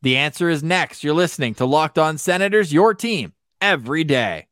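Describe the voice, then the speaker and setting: Higher-voiced man hosting a hockey podcast. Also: expressive, moderate pace, a bit bright, no echo, studio quality. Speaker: sports podcast host. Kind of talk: lively hockey talk with stats.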